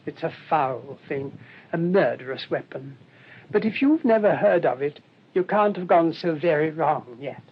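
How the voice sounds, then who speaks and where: An actor playing a mild, shrewd English priest in a radio drama, speaking in a gentle, rambling, politely hesitant way. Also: male voice, lively intonation, measured pace, breathy edge, exaggerated voice. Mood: gently reproving. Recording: dull lo-fi radio recording, rumbling noise.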